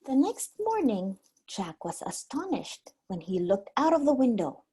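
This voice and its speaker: A woman tells a children's story like a preschool teacher, in an expressive, animated way. Her voice is fairly high and slightly husky, and she takes her time. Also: unhurried. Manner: explains words simply for small children.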